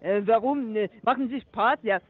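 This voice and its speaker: A woman call center agent speaks in a high, hesitant voice. She stops and restarts often as she talks. The call comes through a poor, tinny phone line. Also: normal speed, breathy edge.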